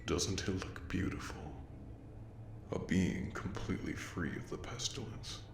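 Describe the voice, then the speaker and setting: A man voicing a courtly, menacing, obsessive plague doctor creature in an audio roleplay, speaking in a slow, formal, breathy murmur with a gravelly edge. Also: low voice. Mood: rapt pride. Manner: slow, formal, old-fashioned diction, softly menacing. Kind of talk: audio roleplay monologue addressed to the listener. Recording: roomy echo with faint background noise.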